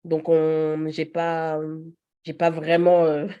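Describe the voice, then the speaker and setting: A woman in her thirties speaks as a podcast guest, relaxed and chatty. She laughs easily and fills her pauses. Her voice sits a little low. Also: smooth, muffled recording. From Paris region. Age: 30s. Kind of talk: casual chat.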